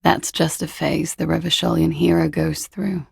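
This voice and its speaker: Husky, creaky, world-weary young expatriate. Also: female voice, low-pitched, level tone, normal speed, darkish tone, no echo, heavily acted. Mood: wry, teasing. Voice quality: husky, gravelly. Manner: dry, languid, ironic. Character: cool, world-weary young expatriate woman, wryly teasing. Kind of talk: video game character dialogue.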